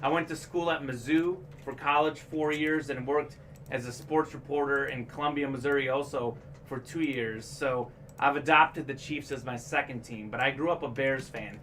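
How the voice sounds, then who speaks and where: A man's voice: mid-range voice, steady tone, normal speed, fairly bright tone, distant mic, a little hiss or hum, low-quality recording. A young man born in Bulgaria, co-hosting a sports memorabilia stream in a casual, chatty way, full of sports facts. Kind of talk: casual chat.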